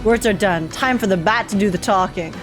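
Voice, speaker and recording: A young woman streaming a game, reacting casually and excitedly with giggly, slangy enthusiasm. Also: medium pitch, fairly quick, music in the background.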